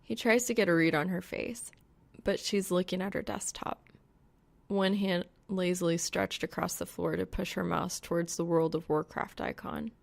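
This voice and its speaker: Female audiobook narrator, lowish register, slow scripted read, creaky texture. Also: steady tone. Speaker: audiobook narrator of fan fiction.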